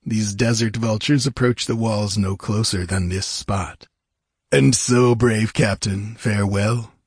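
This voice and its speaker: A man's voice: lowish voice, a little slow, breathy edge, no room sound, clean studio sound, full character voice. A man voicing a smug, cruel military commander who taunts with formal courtesy, in an audiobook. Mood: smug gloating. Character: mocking, cruel military commander gloating over his victim. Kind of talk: audiobook character voice.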